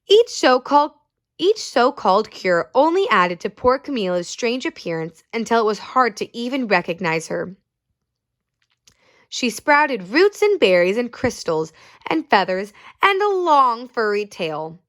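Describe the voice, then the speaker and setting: Slow, expressive woman reading aloud. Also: a little high. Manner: slow and expressive, asks listeners questions. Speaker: storyteller. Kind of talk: children's read-aloud.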